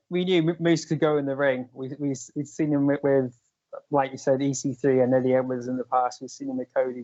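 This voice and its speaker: A man's voice: mid-range voice, darkish tone, dry recording. A British man co-hosting a casual wrestling chat, stammering and repeating words as he talks. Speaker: podcast co-host. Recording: dry, dull lo-fi sound.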